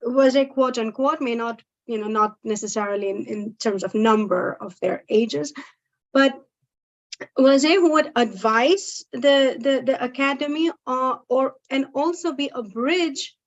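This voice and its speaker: A woman's voice: sits high, measured pace. A woman from East Africa, a native Swahili speaker, explaining a point in an unscripted academic discussion and often interrupting herself. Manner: frequent "you know", explanatory, self-interrupting. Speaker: academic meeting participant. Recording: dull, lo-fi audio.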